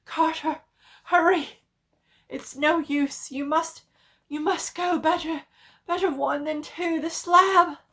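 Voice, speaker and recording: A woman voicing a doomed man in a horror story, urgent and despairing, shouting warnings over a telephone. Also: sits high, fairly even intonation, takes it slowly, pitched above the performer's own voice, exaggerated voice. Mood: urgent, resigned. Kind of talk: dramatic horror story reading.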